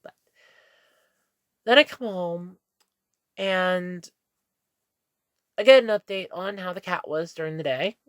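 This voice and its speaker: A woman's voice: lowish voice, no echo, studio quality. Stuffy-nosed woman rambling to camera. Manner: meandering, self-correcting, candid. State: stuffy nose. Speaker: vlogger. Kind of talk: casual rambling monologue.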